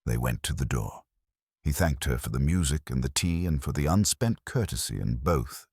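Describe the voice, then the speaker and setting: Very deep-voiced man narrating a mystery. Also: darkish tone, breathy edge, no echo, studio quality. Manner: measured, wry, deliberate. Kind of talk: scripted literary mystery narration.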